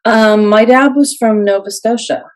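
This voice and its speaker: A woman's voice: on the high side, moderate pace, a little mellow. A woman who is a published author, speaking as an interview guest in an earnest, plain-spoken way with some fillers. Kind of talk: casual interview.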